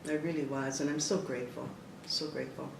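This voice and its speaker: A woman's voice: rumbling low, a little fast, vocal fry, far from the mic. A woman from Canada whose first language is Italian, a dancer and choreographer talking expressively in an interview, often restarting her sentences. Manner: expressive, animated, many restarts and fillers. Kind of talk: reflective interview talk. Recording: echoey room, speaker away from mic, faint noise.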